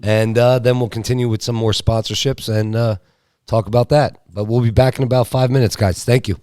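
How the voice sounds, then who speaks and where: A man's voice: medium pitch, fairly even intonation, brisk, warm tone, no room sound, clean studio sound. A man hosting a casual podcast, loose and upbeat, who swears freely. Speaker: podcast host.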